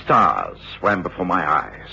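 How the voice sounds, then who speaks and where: An actor playing a British gentleman doctor who narrates his own misadventure in a measured, formal way for radio drama. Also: sits low, very animated, gravelly, slight background noise, full character voice. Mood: dramatic, tense. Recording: dull old radio recording.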